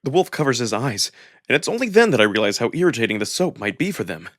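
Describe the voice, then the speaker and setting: A man's voice: mid-range voice, sing-song, fast, fairly bright tone, dry recording, studio quality. A man giving a dramatic first-person narration of a visual novel story. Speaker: narrator and voice performer.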